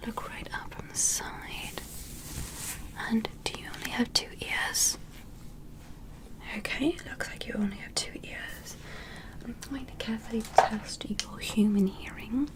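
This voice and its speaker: A woman voicing a gentle, curious alien medical examiner in an ASMR roleplay, whispering softly and giving careful, soothing instructions. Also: medium pitch, natural voice, poor audio. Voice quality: soft breathy whisper.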